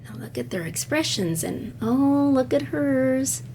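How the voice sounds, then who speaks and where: A woman's voice: high-pitched, lively intonation, unhurried, darkish tone, a little hiss or hum. A woman reading a picture book aloud to children like a teacher, speaking to them directly with dramatic emphasis. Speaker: read-aloud storyteller, teacher-like.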